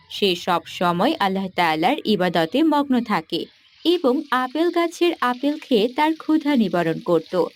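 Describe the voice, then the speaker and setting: Casting: woman narrator, scripted animated tale, high pitch, expressive swings in tone. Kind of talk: scripted cartoon story narration.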